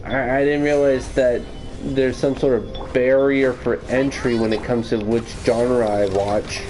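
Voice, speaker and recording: A man's voice: medium pitch, steady tone, a little slow, poor audio. A man streaming casually, answering viewers with wordy, formal phrasing. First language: English. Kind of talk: casual livestream chat answering viewer questions. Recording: noticeable background noise.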